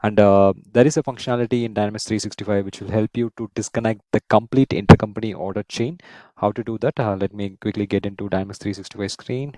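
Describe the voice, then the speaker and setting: A man in his thirties explaining software step by step as a tutorial host, often checking in with a "right?". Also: on the low side, fairly even intonation, fast talker, dry recording. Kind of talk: screen-share software tutorial, semi-scripted explanation.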